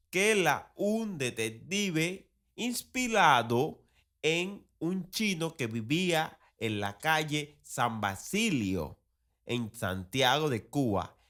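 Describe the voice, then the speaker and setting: A man with a Cuban accent hosting an explanatory history talk, phrasing things in a deliberate, didactic, emphatic way. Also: medium pitch, fairly bright tone. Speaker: podcast host.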